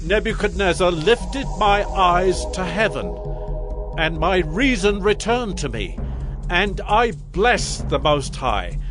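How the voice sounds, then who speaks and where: An actor playing a proud Babylonian king in a dramatized scripture reading, with a stately, declamatory delivery. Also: sits high.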